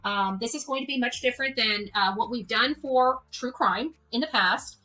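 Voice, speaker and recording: A woman with a fairly high voice speaks off the cuff as a livestream host. She is earnest and exhortatory, urging her followers on like an organizer and often tagging her points with a quick check for agreement. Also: sharp tone, low-quality recording. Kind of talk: unscripted livestream address to followers.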